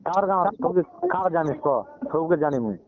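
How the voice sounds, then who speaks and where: A man with a fairly high, very animated voice and a Koraputia Odia accent chats casually. He comes through a tinny, narrow phone line. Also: moderate pace, vocal fry, crowd noise. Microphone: telephone line.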